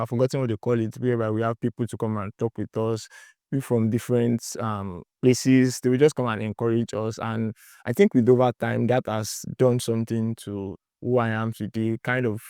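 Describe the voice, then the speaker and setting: A man's voice: mid-range voice, flat delivery, normal speed, darkish tone, clean tone, no room sound, studio quality. A young man in his twenties with a Nigerian accent, a student answering interview questions in a hesitant, rambling way with many fillers.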